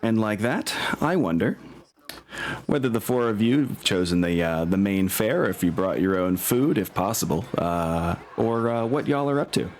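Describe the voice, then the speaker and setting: A man's voice: on the low side, expressive, normal speed, slight background noise. A man narrating a tabletop role-playing game as game master, in an informal, improvised way.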